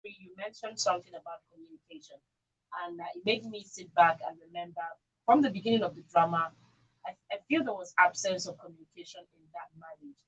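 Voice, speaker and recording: A woman's voice: medium pitch, expressive, a little fast, a bit bright, breathy edge, highs cut off. A Nigerian woman talking reflectively as a panelist on a radio discussion, voicing other people's words as she makes her point. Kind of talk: conversational discussion on a radio talk show.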